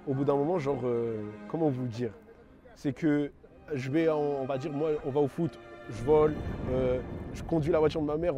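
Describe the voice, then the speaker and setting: Jeune homme à l'de banlieue parisienne, timbre sombre, parle en argot, hésitant et décousu. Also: male voice, fairly high pitch, dark tone. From France, raised in London. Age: 20s. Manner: slangy, rambling, many fillers and self-corrections. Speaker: testimony giver. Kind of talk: casual spoken testimony.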